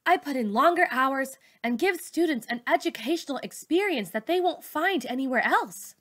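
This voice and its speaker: A woman's voice: high voice, lively intonation, moderate pace, fairly bright tone, voice pushed up. A woman reading a children's novel, voicing a proud, strict perfectionist teacher, a woman with a firm, earnest, defensive manner. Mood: proud, wounded. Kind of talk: children's novel read-aloud dialogue.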